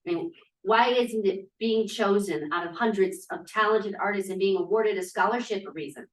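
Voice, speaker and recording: A woman's voice: medium pitch, normal speed, close to an everyday voice. A woman playing a defiant, free-spirited drama student of twenty-two in a play table read, arguing emphatically and theatrically. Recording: roomy, echoey, distant microphone.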